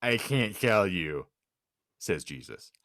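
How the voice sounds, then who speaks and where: Deadpan male podcast host with swooping delivery. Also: deep voice, slow, no room sound, clean studio sound. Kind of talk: reading out a hypothetical scenario and bantering.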